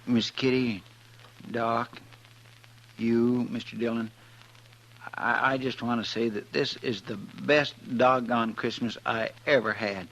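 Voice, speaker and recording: An actor playing an earnest, humble, stammering deputy sidekick with a folksy rural American accent in a 1950s radio Western. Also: male voice, medium pitch, fairly even intonation, slow pace, vocal fry, fairly bright tone, full character voice. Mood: earnest, moved. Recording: dull vintage radio recording, faint music.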